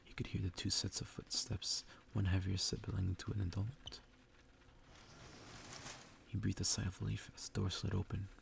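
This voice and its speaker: Hushed, gravelly low-voiced man reading ASMR. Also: completely flat delivery, moderate pace, long pauses, slight background noise. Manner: hushed, often breathy and half-whispered. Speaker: ASMR reader. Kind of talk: soft ASMR reading of a visual novel. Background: music.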